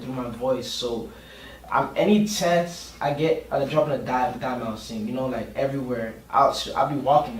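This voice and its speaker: Male interview guest, informal and slangy, middle pitch, faint noise on a roomy recording. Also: far from the mic. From Miami, Florida, USA. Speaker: guest singer and producer. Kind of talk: casual podcast interview.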